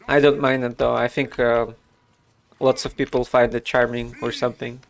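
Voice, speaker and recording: A man's voice: medium pitch, level tone, normal speed, gravelly. A man from Russia with a Russian accent, chatting casually while streaming a game, thinking aloud with many fillers. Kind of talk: casual gameplay commentary.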